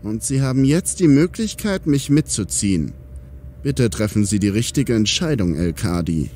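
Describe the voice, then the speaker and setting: A man's voice: on the low side, very animated, moderate pace, mellow, a little hiss or hum. A man playing a diplomatic, calculating police commander in a German audio drama. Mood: grave, resigned.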